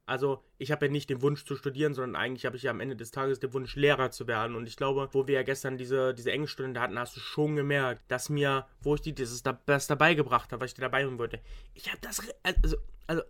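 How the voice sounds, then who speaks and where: A man's voice: mid-range voice, fairly even intonation, fast, a bit bright. A young man, a university student, chatting casually, rambling with frequent restarts and English slang. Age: young adult. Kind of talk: casual chat.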